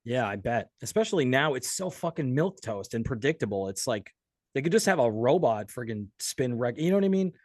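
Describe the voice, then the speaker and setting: A man's voice: medium pitch, big pitch swings, a little fast, a little mellow, dry recording, studio quality. An American man hosting an interview in casual, profane, conversational style. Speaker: podcast interviewer.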